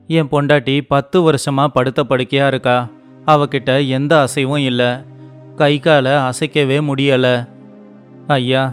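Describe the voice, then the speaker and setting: Man playing humble poor husband, mid-pitched, earnest, gentle, storybook tale, faint music. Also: natural voice. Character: poor, devoted, humble male rickshaw driver.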